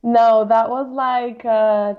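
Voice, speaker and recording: A woman in her late twenties from Colombia with a Colombian accent, chatting informally as a podcast guest and telling stories in an animated way. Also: a little high, takes it slowly, clean tone, lo-fi sound. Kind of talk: casual interview chat.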